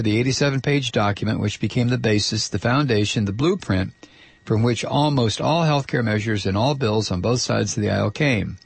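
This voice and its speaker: A man's voice: mid-range voice, husky, no echo. A man hosting a political talk radio show, ranting angrily and sarcastically, and losing his voice.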